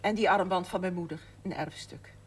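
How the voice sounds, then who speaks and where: An actress playing a proud, dutiful mother in her sixties in a naturalistic television drama, with a husky voice. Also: on the low side, brisk. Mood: defensive, firm. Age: 60s. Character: proud, dutiful mother of sixty-six, stubbornly practical.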